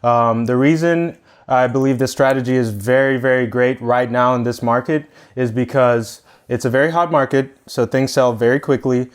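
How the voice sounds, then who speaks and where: Clear-voiced man in his twenties. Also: mid-range voice, steady tone, normal speed, few pauses. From Italy. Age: 20s. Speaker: podcast guest, entrepreneur. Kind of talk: conversational interview answers.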